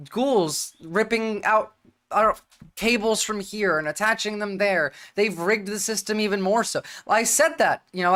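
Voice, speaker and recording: A woman's voice: mid-range voice, fairly bright tone. Blunt, profane American woman streamer. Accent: mixed American. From United States. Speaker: livestream host. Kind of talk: casual livestream commentary.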